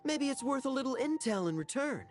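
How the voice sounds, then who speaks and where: An actor playing a suave, teasing young knight in a video game, with a wry, bantering manner. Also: male voice, extremely high pitch, big pitch swings, brisk, soft background music. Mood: playful, sly. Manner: smooth-talking, wry, bantering.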